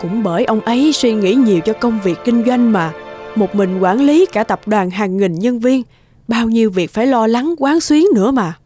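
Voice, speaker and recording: A woman voicing a worried middle-aged mother and wife in an animated family drama. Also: fairly high pitch, moderate pace, faint music behind, lightly acted.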